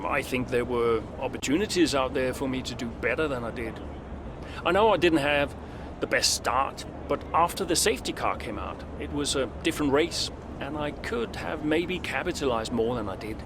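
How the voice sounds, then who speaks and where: Veteran male driver, played by an actor, clipped and dry, mid-pitched, video game drama, noise behind. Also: lively intonation, moderate pace, natural voice. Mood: guarded, dry. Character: veteran male racing driver, curt, weary, proud. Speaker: racing driver. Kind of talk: video game cutscene acting.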